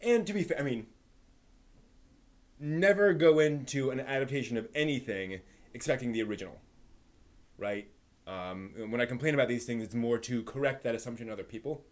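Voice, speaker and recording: A man with a medium-pitched voice hosts a live stream in an unscripted, conversational style. He lays out opinions in a discursive way, halting and repeating words while he works out each thought aloud. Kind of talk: unscripted opinionated chat about books and films.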